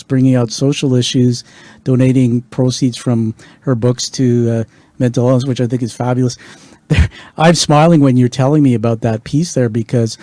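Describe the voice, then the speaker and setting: A man from England hosts a relaxed interview in a mellow, warm voice. He speaks enthusiastically, often restarting his sentences as he gushes about the guest's book. The sound is dry and close to the mic. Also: medium pitch, dry recording. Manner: enthusiastic, rambling with restarts and praise. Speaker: podcast host. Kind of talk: conversational interview.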